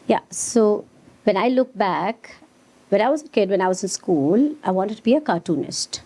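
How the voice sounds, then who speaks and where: A woman's voice: mid-range voice, expressive, moderate pace, warm tone. A woman in her fifties chatting casually as an interview guest, telling her own story with wry, jokey touches. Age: 50s. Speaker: interview guest, comedian and ventriloquist.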